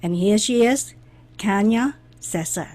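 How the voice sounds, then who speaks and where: A woman hosting an interview, reading a guest introduction aloud and then asking questions in a deliberate way. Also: medium pitch, darkish tone. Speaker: interview host.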